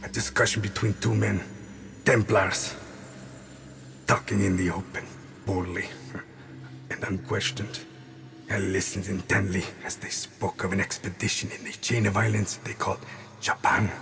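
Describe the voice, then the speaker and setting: An actor playing a weary exiled assassin in a game, narrating his confession in a somber, measured, brooding way. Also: deep voice, level tone, fairly bright tone, a little hiss or hum. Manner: somber, measured, haunted, trailing into breathy murmurs. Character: haunted exiled male assassin, weary, brooding, quietly resolute.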